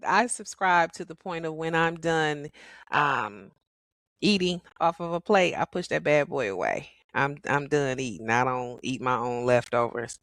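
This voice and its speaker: A middle-aged woman hosts her podcast alone in casual, sassy talk. Her voice is hoarse from a cold, and she laughs often. The recording is dry and close, with no room sound. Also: sits low, a bit bright, no echo. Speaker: podcast host.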